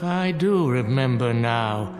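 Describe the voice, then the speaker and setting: An actor playing a solemn, judgmental male reverend in a radio drama, with a measured, formal delivery. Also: mid-range voice, expressive. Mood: grave reproach. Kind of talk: classic radio drama acting. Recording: reverberant hall, music underneath.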